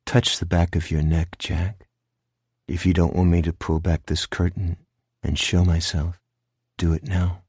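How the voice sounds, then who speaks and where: A man voicing a cold, taunting shadowy monster in a horror audiobook, speaking slowly and deliberately with menace. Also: deep voice, level tone, darkish tone, slightly rough, no echo, studio quality, voice pushed down. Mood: cold threat. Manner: slow, deliberate, coldly commanding.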